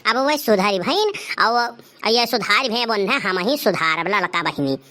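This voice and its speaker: A woman's voice: medium pitch, expressive, measured pace, pushed and bright. A woman voicing an indignant, scolding village mother in a cartoon comedy, speaking emphatically with an Awadhi accent. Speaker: voice actor of a comedy cartoon channel. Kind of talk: dialect cartoon comedy acting.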